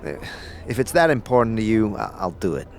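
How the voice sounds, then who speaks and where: An actor playing a flustered, reluctant male sidekick who talks haltingly, deferring to his chief in an audio drama. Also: lowish voice, big pitch swings. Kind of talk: audio drama character acting. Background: music.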